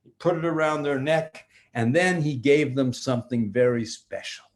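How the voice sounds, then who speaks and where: An older man, a grandfather, improvising a bedtime story for children in simple, repetitive phrases with drawn-out words. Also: mid-range voice.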